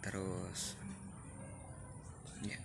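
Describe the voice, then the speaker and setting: A man from Lampung slowly listing words in a halting way. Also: sits low, monotone. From Lampung, Indonesia. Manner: slow, halting list of words. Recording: clearly noisy background.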